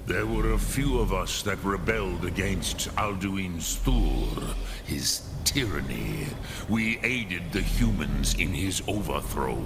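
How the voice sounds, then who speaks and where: Age-old dragon sage, gravel-throated, drawn-out yet swooping delivery, solemn, patient, archaic, fantasy game. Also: male voice, very noisy, heavily acted. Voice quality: very deep, gravelly, breathy growls. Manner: slow, solemn, deliberate, archaic phrasing with foreign words. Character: ancient, wise, patient male dragon hermit. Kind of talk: fantasy video game voice acting. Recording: loud music under the voice. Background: loud game music.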